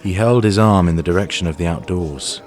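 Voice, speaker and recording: A man's voice: low voice, brisk, darkish tone. A man reading scripted story narration in the third person for an audio drama.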